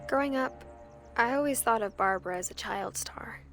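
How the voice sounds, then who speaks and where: A woman's voice: on the high side, moderate pace, music in the background, lightly acted. A woman playing a reflective young woman who narrates her family history in a video game, intimate and understated. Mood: wistful, reflective. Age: young adult. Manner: wistful, understated.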